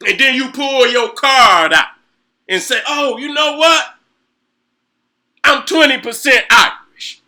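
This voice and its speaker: A middle-aged man with a strikingly high voice and a sharp, piercing edge rants to his viewers. His talk is heated and profane, loaded with rhetorical questions and the same catchphrase again and again. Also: slow, long pauses. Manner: fiery, profane, rhetorical, repeats "you know what I'm saying". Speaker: host.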